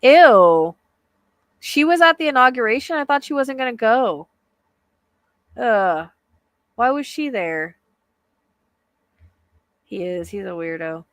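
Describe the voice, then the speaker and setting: A woman's voice: on the high side, animated, takes it slowly, pauses often, no room sound, clean studio sound. Soothing, chatty woman host. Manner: chatty, hesitant, with frequent fillers. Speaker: psychic medium host. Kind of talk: casual livestream chat.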